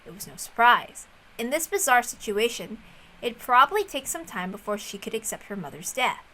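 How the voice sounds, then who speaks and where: A woman reading a story aloud as narrator, in an expressive storytelling style. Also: a little high, moderate pace, poor audio. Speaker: reader of a visual novel.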